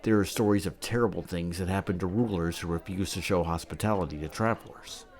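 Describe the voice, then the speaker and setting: A man playing a learned character who patiently explains old myths and customs in a measured, didactic way, in an audio drama. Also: deep voice, a little mellow, a faint crowd. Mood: patient, instructive.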